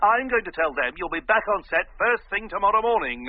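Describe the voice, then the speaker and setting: A higher-voiced man an exasperated, domineering theatrical agent in a radio comedy. He scolds with sardonic, theatrical flourish. The voice comes thin and tinny down a telephone line. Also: big pitch swings, fairly quick. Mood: sardonic, scolding. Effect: telephone filter. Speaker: actor in a radio drama. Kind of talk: comic radio drama acting. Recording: tinny, band-limited phone line.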